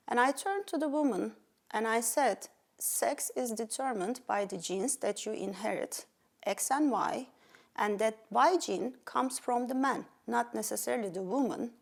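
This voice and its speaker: A middle-aged woman from Turkey with a Turkish accent, earnestly telling her life story as an interview guest. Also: fairly high pitch. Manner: earnest, with fillers and restarts. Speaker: pediatric endocrinologist guest.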